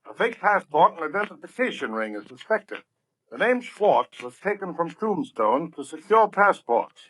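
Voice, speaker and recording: A man's voice: fairly high pitch, sing-song, moderate pace, fairly bright tone, rough recording. An actor playing an urgent newsman with clipped, declamatory delivery in 1930s radio style. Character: urgent male newsman relaying breaking news. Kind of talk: 1930s radio newsflash acting. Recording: vintage 1930s radio broadcast, faint music.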